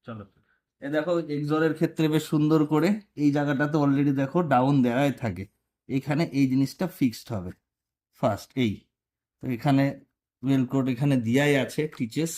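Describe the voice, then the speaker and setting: Guy from india doing a casual moto vlog how-to, explains stuff step by step, mid pitched voice. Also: fairly quick, a little mellow. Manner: informal, step-by-step explaining to viewers. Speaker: motorcycle vlogger. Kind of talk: casual hands-on tutorial narration.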